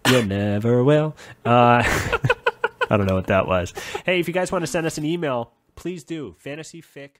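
Man, podcast co-host, very lively intonation, casual, jokey, playful. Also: medium pitch, normal speed. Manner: jokey, often breaks into playful singing. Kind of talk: casual comedy podcast chat.

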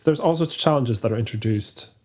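A young man whose first language is Norwegian, with a mellow, warm tone at a middling pitch. He explains things over a video call from a small room at home, measured and a little hesitant. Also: breathy edge. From Norway. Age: young adult. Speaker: podcast co-host, singer. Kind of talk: casual chat. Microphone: headphones with studio mic on video call.

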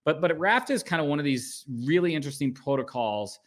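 A man's voice: a little high, very animated, fairly quick. Casual man explaining over slides. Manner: casual, many restarts and "right?" tags. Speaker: guest speaker at a developer meetup. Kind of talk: technical talk over slides. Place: home room, indoors.

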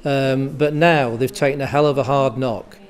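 A man's voice: mid-range voice, steady tone, normal speed. Man, parish councillor, plain-spoken appeal, interview indoors. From England. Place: scout hall indoors.

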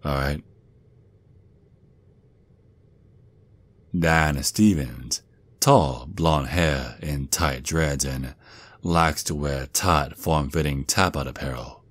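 Very deep Southern werewolf dad played by a man. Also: a bit bright, dry recording, exaggerated voice. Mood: grimly determined. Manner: doting, folksy, slow drawl with pet names. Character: protective Southern werewolf father, gruff military sergeant. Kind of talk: ASMR roleplay acting.

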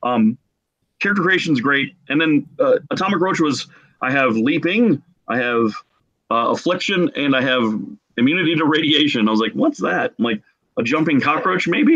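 Middle-aged guy, excitable and jokey, telling stories casually, dull lo-fi video call sound. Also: on the high side, normal speed. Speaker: podcast guest, game artist. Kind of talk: casual animated storytelling. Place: small room at home, video call.

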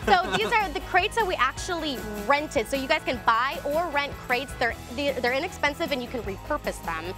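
A young woman, a DIY designer on a lifestyle show, chatting brightly through a how-to demonstration on an outdoor backyard set with a lapel mic. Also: sits high, lively intonation, normal speed, hardly any pauses, music in the background. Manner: bubbly, chatty, laughs often.

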